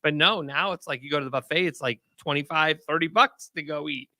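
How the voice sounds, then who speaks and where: A man's voice: a little high, brisk, bright, forceful tone, no echo, clean studio sound. A man who works in radio, hosting a casual chat show in an upbeat way. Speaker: radio host.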